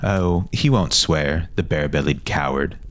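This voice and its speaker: A man's voice: extremely low pitch, measured pace. A man voicing a bossy, grandiose older boy in an audio fantasy story, speaking formally and insistently. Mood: scornful.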